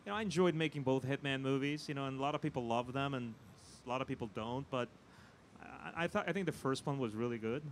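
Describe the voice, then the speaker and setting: A man being interviewed as a film producer, chatting casually and haltingly, often repeating his words. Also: mid-range voice, a little hiss or hum.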